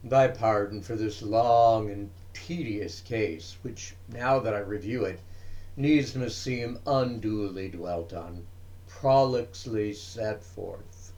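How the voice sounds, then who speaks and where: A man's voice: fairly low pitch, takes it slowly, a little mellow, rough recording. A man voicing a learned, troubled ancient physician in a dramatic poem, speaking in a formal, pensive, emphatic manner. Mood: apologetic, pensive.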